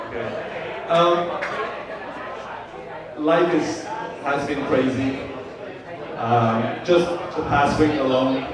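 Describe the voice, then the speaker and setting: A Vietnamese-born man in his fifties, whose first language is Vietnamese, gives a halting, filler-heavy birthday speech to guests at a party. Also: medium pitch. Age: 50s. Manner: halting, many fillers and restarts. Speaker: birthday host giving a speech. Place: large room at a party. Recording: echoey party room, mic far off. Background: crowd of guests.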